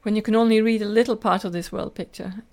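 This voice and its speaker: A woman's voice: mid-range voice, fairly even intonation, quick pace, a little mellow. An older woman with a Scottish accent, telling personal stories in an earnest, detailed way as an interview guest. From Scotland. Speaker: podcast guest, teacher and lecturer.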